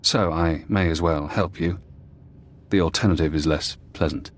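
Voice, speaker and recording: An actor voicing a calm, clinical imprisoned ex-operative in a video game, speaking in a measured, precise and dryly matter-of-fact way. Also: male voice, sits low, close to an everyday voice. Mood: measured, resigned.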